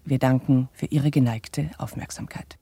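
Very dark-toned, pompous male announcer played by an actor. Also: medium pitch, steady tone, normal speed, vocal fry, natural voice. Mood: formal, pompous. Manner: stiffly courteous, pompous. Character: formal, pompous bourgeois male announcer addressing his public. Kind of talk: formal scripted address in radio drama.

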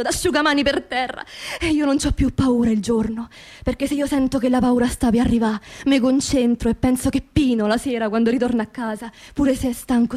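An actress playing an anxious, grieving working-class woman in a theatrical radio monologue, with a Roman accent and an emotional, confiding manner. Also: sits high. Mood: tender, playful. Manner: emotional, confiding, repeats words insistently.

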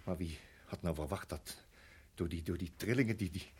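An actor in a radio play playing a weary, anguished husband who speaks earnestly and haltingly, repeating his words. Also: low voice, slightly rough. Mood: weary, despairing.

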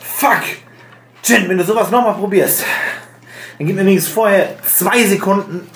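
A man's voice: high voice, normal speed, voice pushed up. A man voicing a stressed, irritable hacker talking emphatically to teammates in a tabletop roleplaying game. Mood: irritated, tense. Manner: agitated, emphatic, sweary. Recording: roomy echo with clear noise.

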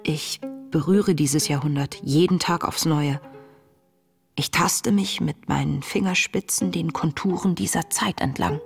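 An introspective young woman confiding in her wartime diary for a radio drama. Her voice sits low for a woman and moves in a measured, reflective, intimate way. Faint music plays behind her. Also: vocal fry. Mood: grave resolve.

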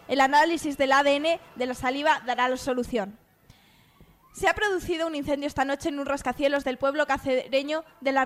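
Comedy radio newsreader: female, high register. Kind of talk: scripted mock news bulletin, then group banter.

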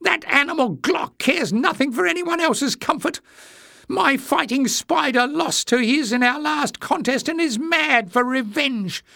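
A man voicing a whining, cowardly little schemer in a shrill, squeaky, spluttering storybook voice. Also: lively intonation, measured pace, creaky, pushed and bright, no echo, studio quality, full character voice. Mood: sullen complaining. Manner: spluttering, whining, melodramatic pleading. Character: whining, cowardly small male schemer, screechy and wheedling. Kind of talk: acted character voice in audiobook narration.

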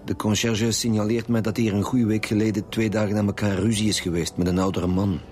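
A man's voice: on the low side, level tone, fairly quick, a little mellow, close to an everyday voice. A stern Flemish police chief, acted by a man in a radio drama. He is blunt and dogged, questioning and giving orders with authority. Faint music runs behind him. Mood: brisk, determined. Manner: blunt, commanding, interrogating. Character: stern, dogged male chief inspector leading a homicide squad.

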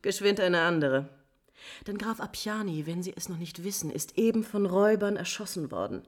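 A woman's voice: medium pitch, normal speed, close to an everyday voice. A woman playing a proud, scorned countess in 1950s German radio drama, bitterly mocking and agitated, moving between whispers and outcries. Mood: bitter pity. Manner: theatrical, swinging from whispers to outcries.

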